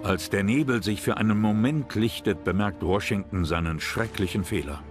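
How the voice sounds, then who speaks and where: A man giving measured, serious scripted narration for a history documentary. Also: on the low side. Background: music.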